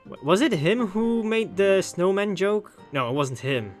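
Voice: mid-range voice, animated, a little slow. An informal let's player commentates on a visual novel with music playing behind. The talk rambles along and repeats phrases for emphasis, slipping between commentary and read dialogue. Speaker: gameplay commentator reading a visual novel aloud.

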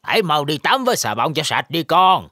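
A performer voicing a kindly old grandpa shark in a children's cartoon, animated and coaxing. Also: medium pitch, a little fast, dry recording. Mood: dismayed.

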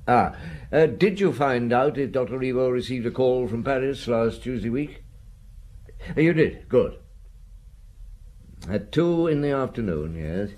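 Man playing a mellow, musing police inspector. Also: mid-range voice, expressive, a little slow, long pauses, natural voice. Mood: businesslike. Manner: musing, wry, hems and murmurs to himself. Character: shrewd, stubborn bedridden middle-aged male French police chief inspector. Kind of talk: British radio drama acting.

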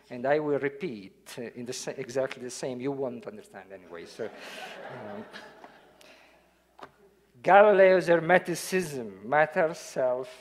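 A man from Italy with an Italian accent, giving an academic lecture in a hall, hesitant with fillers when speaking freely and reading parts of it aloud. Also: on the high side. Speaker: guest lecturer.

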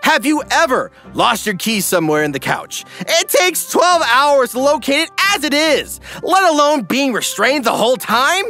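Male comedy narrator, high and bright, theatrical emphasis and wry asides over a music bed. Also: sing-song, normal speed, few pauses. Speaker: recap narrator.

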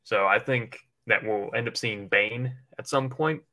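A man's voice: lowish voice, a little slow, bright, forceful tone. A man co-hosting a livestream, chatting casually in a rambling, informal way and swearing now and then. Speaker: livestream co-host. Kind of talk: casual chat speculating about films.

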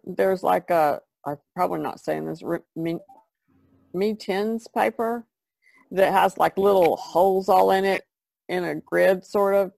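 A woman artist on a podcast panel, casually explaining things in a halting, thoughtful way. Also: on the low side, vocal fry, a little mellow, no echo.

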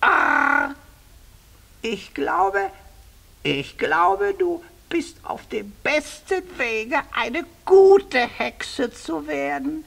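A woman playing a fond, approving talking raven with a croaky voice in a children's radio play. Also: high voice, big pitch swings, fairly bright tone, exaggerated voice. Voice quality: croaky, creaky. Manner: slow, deliberate, approving.

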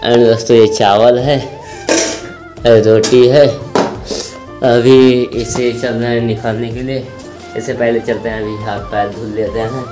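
A man's voice: medium pitch, level tone, measured pace, pauses often, music in the background. A young man with an Uttar Pradesh Hindi accent, chatting to his viewers as he walks through his village and farm, filming himself on a phone held at arm's length. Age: young adult. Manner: chatty, repeatedly addresses viewers as friends. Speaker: village vlogger. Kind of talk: casual vlog narration. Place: rural village, indoors and outdoors in fields. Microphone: phone camera at arm's length.

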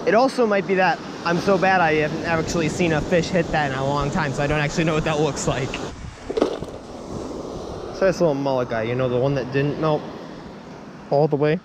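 A high, lively male voice jumps up and down in pitch as he chats about his gear and makes fun of his own fishing. He is recorded on an action camera at the beach, with wind and crashing surf loud behind him. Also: normal speed, long pauses. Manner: chatty, self-mocking, excitable when a fish seems near. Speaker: fishing vlogger. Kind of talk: self-deprecating casual vlog narration. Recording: windy outdoor beach audio with surf noise. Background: wind and crashing waves.

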